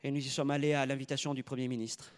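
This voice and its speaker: A man interpreting a sermon live, phrase by phrase, in a halting way, rephrasing as he goes. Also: mid-range voice, flat delivery, clean tone.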